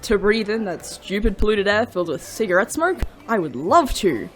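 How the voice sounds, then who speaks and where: An actor playing a grumpy, sarcastic young demon, grumbling and deadpan in a fiction podcast. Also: mid-range voice, moderate pace, soft background music, lightly acted.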